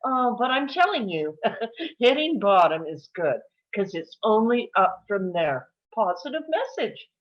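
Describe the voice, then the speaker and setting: A woman's voice: mid-range voice, big pitch swings, unhurried. Woman doing a nosy oversharing older mom, blunt n breezy, voice all over the place, bit muffled. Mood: breezy, enthusiastic. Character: brash, chatty, oversharing older divorced mother. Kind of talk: comedy table read.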